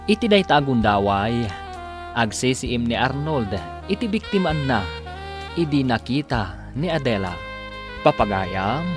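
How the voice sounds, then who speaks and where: A man narrating and announcing a radio drama in a deliberate, drawn-out, scripted style. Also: mid-range voice.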